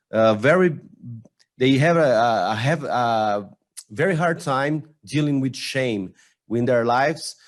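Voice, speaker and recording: Slow, halting Brazilian-accented man. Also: mid-range voice, darkish tone. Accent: Brazilian Portuguese. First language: Portuguese. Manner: slow, halting, with frequent restarts and fillers. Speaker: conference speaker. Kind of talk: online conference presentation.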